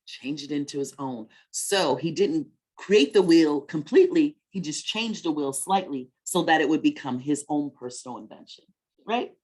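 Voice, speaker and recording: A woman with a slightly low-pitched voice presents to children as a story time host. She speaks conversationally, like an encouraging teacher who checks in with questions and echoes back the answers she gets. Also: animated, moderate pace, a little mellow.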